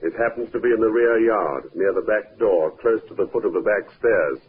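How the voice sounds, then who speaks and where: Actor as a low-voiced, shrewd police inspector. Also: male voice, close to an everyday voice. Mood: shrewd, firm. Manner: measured, probing, understated. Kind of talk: 1950s radio drama acting. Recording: narrow-band, hissy 1950s radio recording.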